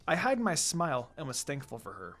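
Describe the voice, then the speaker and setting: Lively fan fiction reader over music. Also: medium pitch, slightly rough. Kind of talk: expressive first-person story narration, casual asides. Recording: background music.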